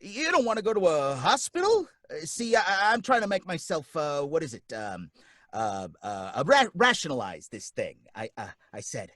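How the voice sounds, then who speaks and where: A man's voice: a little high, wildly swooping pitch, normal speed, fairly bright tone, no room sound, studio quality. An actor playing a nervous working-class cab driver who narrates his own story, rambling in folksy street talk. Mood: flustered. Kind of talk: radio drama acting.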